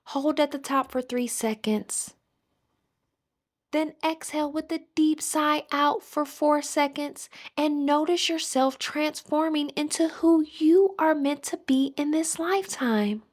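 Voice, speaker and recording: A woman leading a scripted guided meditation in a soothing, measured manner. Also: high voice.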